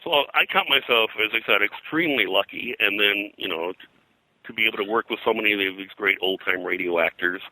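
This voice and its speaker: An older man, a pop culture historian being interviewed, reminiscing in a halting, digressive way full of detail. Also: fairly low pitch, sharp tone, no room sound, poor audio. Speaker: pop culture historian and comics colorist, guest.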